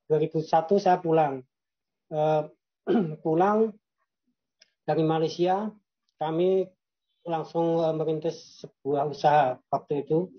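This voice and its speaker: A man from Yogyakarta telling his own business story in a halting way, with many fillers and restarts. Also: on the high side, dull audio. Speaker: guest entrepreneur. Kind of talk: personal storytelling.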